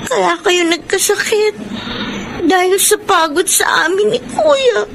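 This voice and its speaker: Casting: small devoted daughter in radio drama, extremely high voice, slow tearful delivery, noisy track. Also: female voice, lively intonation, vocal fry, full character voice. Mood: tearful, worried. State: crying. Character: tearful, devoted young daughter of an ailing mother. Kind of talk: Filipino radio drama acting.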